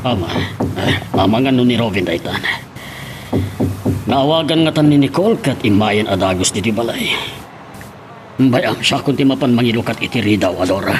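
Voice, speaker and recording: A middle-aged man playing a gentle, earnest father in an Ilocano radio drama. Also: medium pitch, animated, normal speed, long pauses, music in the background, close to an everyday voice. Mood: firm, pleading.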